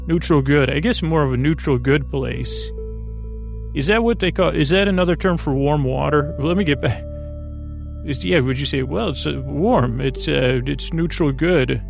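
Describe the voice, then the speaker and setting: A man hosting a sleep podcast in a creaky voice, meandering and halting through a silly improvised bedtime ramble. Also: on the high side, soft background music.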